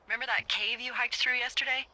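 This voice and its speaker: An actress playing a wry, teasing fire lookout boss in a video game, talking conversationally over a walkie-talkie. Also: female voice, fairly high pitch, fairly even intonation, fast, bright, forceful tone, poor audio. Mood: businesslike. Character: adult woman fire lookout, wry, teasing, candid, bossy. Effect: walkie-talkie radio. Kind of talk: naturalistic video game dialogue.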